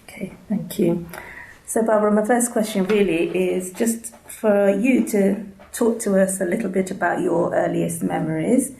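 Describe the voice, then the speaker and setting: A woman conducts an oral history interview in a mellow, fairly low voice with very heavy creak. She politely explains and prompts, pausing as she goes. It is recorded in an echoey room. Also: fairly even intonation. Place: indoors at an arts centre.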